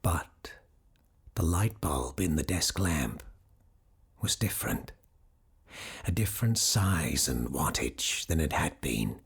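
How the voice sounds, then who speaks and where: A man playing a grave doctor who hosts an occult radio show, telling stories in a measured, theatrical, formal way with a gravelly, breathy voice. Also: a bass voice, expressive, full character voice. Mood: ominous, hushed. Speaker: radio host. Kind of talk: dramatic scripted storytelling in a radio drama.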